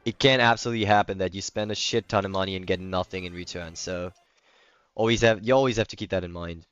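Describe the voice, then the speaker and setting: A man's voice: deep voice, steady tone, fast, a bit bright, clean tone, no room sound. The guy you would hear on a late gaming livestream, a native German speaker with a German accent answering chat in a clean voice, through a close, slightly muffled mic. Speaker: livestreamer playing a video game. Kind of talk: casual chat, replying to stream comments.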